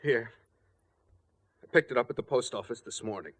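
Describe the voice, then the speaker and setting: An actor playing an anxious, guilt-ridden murderer in 1940s radio style, husky and agitated. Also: male voice, mid-range voice, moderate pace, lightly acted. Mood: shaken. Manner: agitated, pleading. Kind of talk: 1940s radio mystery acting.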